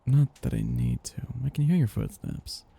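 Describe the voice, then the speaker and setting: A man as a dark, breathy, possessive vampire master. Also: lowish voice, wildly swooping pitch, heavily acted. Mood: indulgent, possessive. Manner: slow, teasing, condescendingly affectionate, with whispers and chuckles. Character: possessive, teasing male vampire master, aristocratic and indulgent. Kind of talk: intimate roleplay ASMR.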